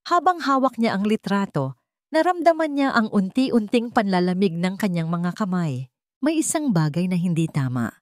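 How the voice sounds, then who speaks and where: A woman's voice: mid-range voice, sing-song, normal speed, no room sound, studio quality. A woman with a Filipino accent reading a scripted story as a dramatic narrator.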